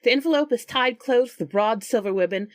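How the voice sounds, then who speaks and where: A woman's voice: fairly high pitch, a little fast, no room sound. A woman playing in a tabletop roleplaying podcast, switching between casual chat, character dialogue and read-aloud narration.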